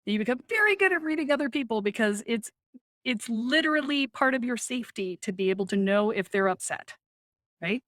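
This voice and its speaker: A woman guest on a podcast interview, a spiritual coach who talks in an animated, emphatic way, often tags "right?" and laughs easily. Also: a little high, brisk, dry recording, clean studio sound.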